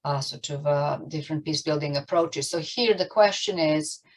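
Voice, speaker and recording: Woman, quite low pitch, panel moderator, hesitant and halting, online discussion.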